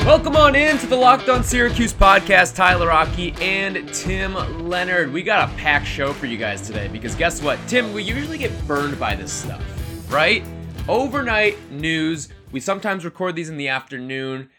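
A man in his twenties co-hosting a casual sports podcast, chatty and rambling, laughing at himself. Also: fairly high pitch. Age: 20s. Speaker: podcast co-host.

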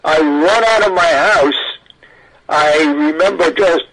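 Older New man, higher-pitched voice. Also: slow pace, a bit bright, poor audio. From Brooklyn, New York. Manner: talkative, emphatic, self-interrupting. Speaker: interview guest, audio archivist. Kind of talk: animated personal recollection in a radio interview.

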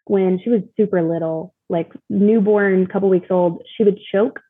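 Young female interview guest, slightly husky, candid casual storyteller, heard over a thin phone-like connection. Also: medium pitch, steady tone, moderate pace, no room sound. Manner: informal, candid, often uses "like". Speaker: interview guest, mother sharing her experience. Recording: thin, phone-like call audio.

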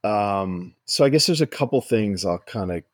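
A man giving a thoughtful, explanatory answer as an interview guest, talking in a measured way. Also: on the low side, animated, no echo, clean studio sound. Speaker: screenwriter, guest.

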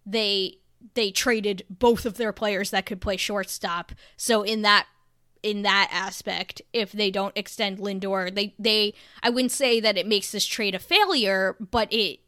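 A woman's voice: medium pitch, steady tone, unhurried, sharp tone, dry recording, studio quality. A woman co-hosting a casual sports podcast, talking emphatically and sarcastically with lots of "like" fillers. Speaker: podcast co-host.

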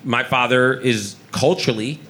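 A man's voice: mid-range voice, moderate pace, bright, forceful tone. A man from Israel, a chef and restaurant owner, speaking on a live panel in a restaurant with many restarts and fillers.

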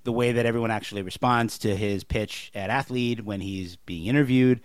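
A man co-hosting a podcast, discussing a TV show casually in a measured, analytical way. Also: lowish voice, clear, resonant tone, no room sound. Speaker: podcast co-host.

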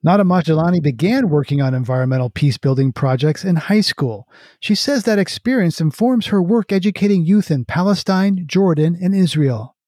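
A man's voice: on the high side, expressive, a little fast, warm tone, no echo, studio quality. A man hosting an interview programme, moving between scripted narration and questions to his guests.

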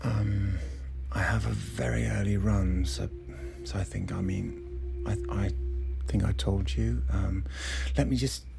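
An actor playing a hurt, angry estranged husband in a naturalistic audio drama, halting and stammering as he repeats himself. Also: lowish voice, fairly even intonation, creaky, loud music behind the voice. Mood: awkward, hesitant.

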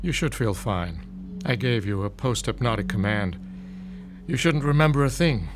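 Actor as a measured, courteous male professor. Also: mid-range voice, expressive, over music, lightly acted. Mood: concerned, measured. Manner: measured, apologetic, formal. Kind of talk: audio drama acting.

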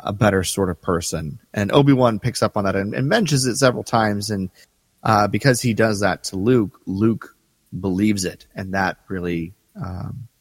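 A man co-hosting a podcast in casual fan discussion, halting and thoughtful as he talks. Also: fairly low pitch, no echo, long reverb tail. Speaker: podcast co-host.

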